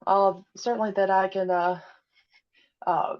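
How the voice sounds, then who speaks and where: A woman being interviewed about her audio drama, speaking hesitantly with many pauses and fillers. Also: mid-range voice, steady tone. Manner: hesitant, many ums and uhs. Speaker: podcast guest, audio drama creator. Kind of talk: casual interview chat. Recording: dull lo-fi audio.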